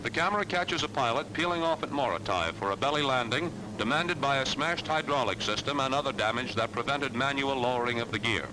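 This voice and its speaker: Male American narrator, 1940s military training film. Medium pitch, assured, clipped, instructional read. Noisy vintage soundtrack. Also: lively intonation, fairly quick, few pauses.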